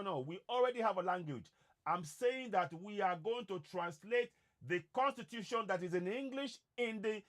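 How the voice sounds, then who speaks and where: A man's voice: high voice, a bit bright. A man from Nigeria whose first language is Yoruba, giving an impassioned monologue to his viewers and stressing points by repeating words. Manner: emphatic, repeats words for emphasis. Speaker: online activist host.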